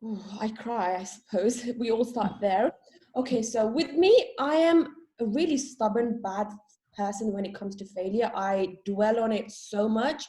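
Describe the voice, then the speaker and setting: A woman's voice: a little high, measured pace, dark tone, low-quality recording. A young woman from Sri Lanka, a guest in a casual interview, talking openly and chattily and laughing at herself.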